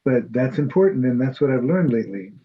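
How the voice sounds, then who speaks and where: A man's voice: mid-range voice, expressive, fairly quick, husky, dull audio. A man from New York with a New York accent, chatting in a Bible study group in a chatty, self-deprecating way. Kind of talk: casual group discussion.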